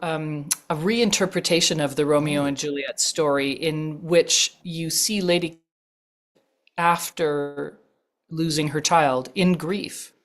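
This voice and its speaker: Middle-aged actress guest with a very low voice, reflective, measured, lots of pauses. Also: female voice, fairly even intonation. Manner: thoughtful, measured, with frequent pauses and fillers. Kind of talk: reflective interview answers.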